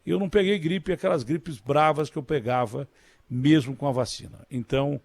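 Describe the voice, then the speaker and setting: A man's voice: fairly high pitch, moderate pace, vocal fry, darkish tone, dry recording. A man with a Brazilian Portuguese accent hosting a radio interview, speaking in a conversational, emphatic way. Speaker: radio host.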